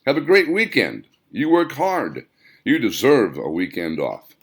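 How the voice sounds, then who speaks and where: A man's voice: mid-range voice, big pitch swings, unhurried, husky, exaggerated voice. A man voicing a friendly, reassuring male plant manager in an audiobook, speaking emphatically. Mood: upbeat, reassuring.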